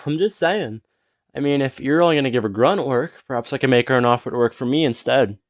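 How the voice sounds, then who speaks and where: A man playing a smug, flirtatious businessman in an audio roleplay, teasing and smooth-talking with mock politeness. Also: medium pitch, quick pace, no room sound, studio quality, close to an everyday voice. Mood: needling, smug. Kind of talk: scripted audio roleplay acting.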